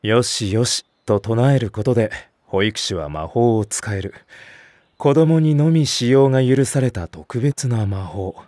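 An actor playing a mild, sensible senior nursery teacher, gentle and instructive, in an anime-style audio drama. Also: male voice, medium pitch, lively intonation, measured pace, no echo, studio quality, close to an everyday voice. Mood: explanatory.

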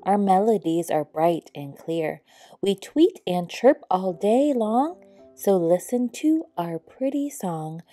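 A woman's voice: mid-range voice, lively intonation, slow, dark tone. A woman voicing cheerful little songbirds in a children's storybook, in lilting singsong rhyme. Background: background music.

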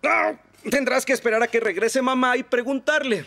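A man's voice: extremely high pitch, moderate pace, background noise. An actor with a Latin American Spanish accent playing a hapless, forgetful, apologetic father who speaks hesitantly in a children's cartoon dub. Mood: flustered. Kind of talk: animated children's series dub. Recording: music behind.

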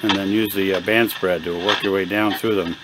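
A man giving a casual hands-on demonstration, thinking aloud in a halting, unhurried way. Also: deep voice, fairly even intonation. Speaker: hobbyist radio repairer demonstrating equipment. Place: indoor home electronics workbench. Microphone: handheld camera mic. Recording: noisy handheld camera recording in workshop.

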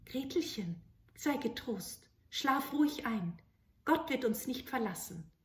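Woman acting a gentle brave little boy. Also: fairly high pitch, lively intonation, slow pace, recorded from a distance, pitched above the performer's own voice. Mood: soothing. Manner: gentle, reassuring. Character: caring, brave little boy of fairy tale. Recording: roomy home recording.

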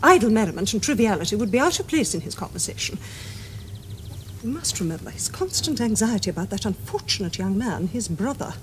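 An actress playing a prim, stern middle-aged English governess in a period comedy, with precise, pompous diction. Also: female voice, medium pitch, sing-song, brisk, a little hiss or hum, close to an everyday voice, poor audio. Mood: stern, reproving. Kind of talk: period comedy screen acting.

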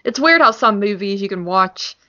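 A woman's voice: mid-range voice, animated, moderate pace, sharp tone. A woman co-hosting a podcast, talking casually about films. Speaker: podcast co-host. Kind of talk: casual film chat. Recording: dull, lo-fi sound.

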